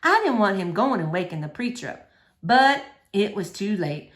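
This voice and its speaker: Animated woman reading a children's story. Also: medium pitch, moderate pace. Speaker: storybook reader. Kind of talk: expressive read-aloud of a children's novel.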